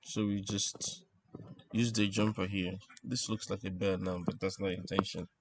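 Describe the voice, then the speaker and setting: Man, fairly low pitch, electronics tutor, informal step-by-step explaining, halting, thinking out loud. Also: level tone, background noise. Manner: halting, explanatory, thinking aloud with repeated words. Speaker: instructor presenting an electronics tutorial. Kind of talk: informal technical lesson, talking while drawing.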